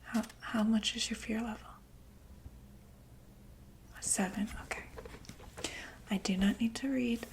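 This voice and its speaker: A woman whispers in a breathy, unhurried voice, set a little high. She plays a doctor in an ASMR roleplay, gently reassuring and a touch hesitant. She is close to the microphone, with faint noise behind her. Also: steady tone.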